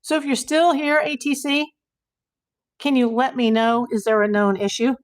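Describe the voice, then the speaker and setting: A woman hosting a casual livestream, hoarse and losing her voice, rambling in exasperation while she reads viewers' comments. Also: high-pitched, steady tone, normal speed. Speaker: YouTube livestream host.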